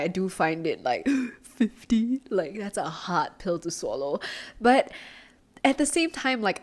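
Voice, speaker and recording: A woman in her twenties with a Singaporean accent, hosting her own podcast in a relaxed, chatty way, with lots of "like" fillers, from her apartment. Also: fairly low pitch. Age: 20s. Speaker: podcast host. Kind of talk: casual solo podcast talk.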